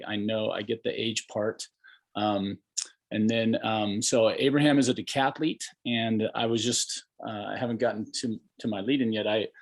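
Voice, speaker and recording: A middle-aged American man hosting a casual podcast interview, talking in a rambling, colloquial and enthusiastic way. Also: lowish voice. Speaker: podcast host.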